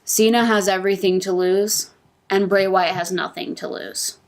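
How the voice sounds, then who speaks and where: A woman's voice: medium pitch, fairly even intonation, normal speed. A woman on a podcast panel, casually explaining her predictions in an earnest way. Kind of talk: casual wrestling prediction talk.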